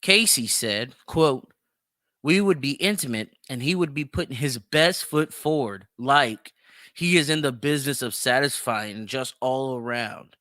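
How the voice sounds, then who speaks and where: Man, podcast host, bright punchy voice, dry close sound, blunt, emphatic, sweary, opinionated solo commentary. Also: medium pitch, a little slow, no room sound. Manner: emphatic, repeats phrases for stress.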